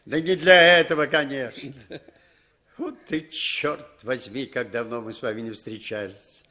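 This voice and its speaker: An actor playing a hearty, needling boss in a 1960s Soviet radio play. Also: male voice, mid-range voice, steady tone, a little slow, sharp tone. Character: Norton, forceful, hearty boss greeting an old acquaintance.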